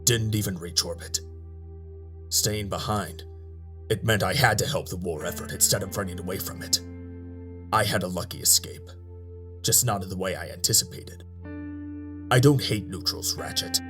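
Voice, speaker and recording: An actor playing a hard-nosed, pragmatic robot officer with a clipped, insistent, bitter manner. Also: male voice, deep voice, moderate pace, long pauses, music in the background, close to an everyday voice. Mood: bitter, confessional.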